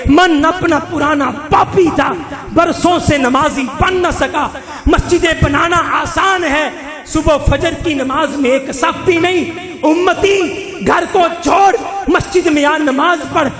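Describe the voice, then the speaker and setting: A man with a Pakistani Punjabi accent preaching an impassioned Islamic sermon, declamatory and full of repeated rhetorical refrains. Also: extremely high pitch. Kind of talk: impassioned religious sermon to a congregation. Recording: echoey hall with long reverb, crowd.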